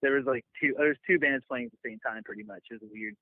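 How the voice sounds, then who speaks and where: A man being interviewed as a touring bassist, chatting casually and loosely in a laid-back way over a lo-fi phone line. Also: quick pace, no echo. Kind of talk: casual chat.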